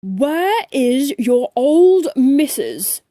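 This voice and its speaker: A woman voicing a posh, bad-tempered gentleman biscuit in a children's storybook, pompous and blustering. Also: sits high, very animated, very slow, no room sound, full character voice. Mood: furious. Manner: pompous, blustering, clipped upper-class phrasing.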